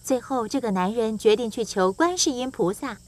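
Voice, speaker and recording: A woman's voice: a little high, expressive, moderate pace, a little mellow. A woman reading scripted narration for a children's storybook tale. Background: music.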